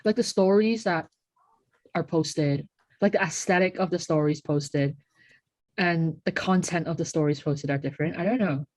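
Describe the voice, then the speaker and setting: A woman's voice: sits low, normal speed, darkish tone, no echo. A young woman from Hong Kong co-hosting a podcast, chatting casually and reflectively, often saying "like". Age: young adult. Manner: reflective, peppered with "like". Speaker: podcast co-host. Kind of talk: casual chat.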